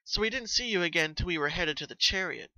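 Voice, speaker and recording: A man's voice: high voice, lively intonation, fast talker, pushed and bright, dry recording, muffled recording, pitched above the performer's own voice, heavily acted. A man voicing a studious, caring young female unicorn who speaks in an earnest, analytical and reassuring manner in a story reading. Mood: inquisitive.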